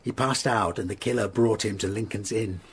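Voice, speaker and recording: An actor playing a grave, methodical Tudor physician in a radio drama, explaining in a measured way. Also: male voice, lowish voice, fairly even intonation, a little hiss or hum, natural voice. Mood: grave, analytical.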